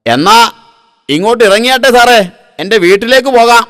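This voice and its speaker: High-pitched, coaxing cartoon con man. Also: expressive, brisk, fairly bright tone, big-room sound. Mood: sly, coaxing. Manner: ingratiating, coaxing. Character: wily, falsely polite male car thief hitchhiker. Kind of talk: comic cartoon dialogue.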